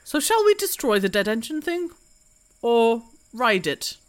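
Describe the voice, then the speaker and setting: A woman with a fairly high, very animated voice, recorded close and dry. She delivers short theatrical lines in character during an improvised tabletop game. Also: measured pace, no room sound. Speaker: player in a tabletop role-playing show.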